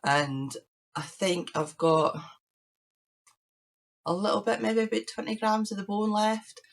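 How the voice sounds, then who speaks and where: A woman hosting her crafting podcast, chatting casually to camera with a croaky voice while she recovers from illness. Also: medium pitch, fairly even intonation, unhurried. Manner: chatty, rambling, shows knitting to camera. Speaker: podcast host.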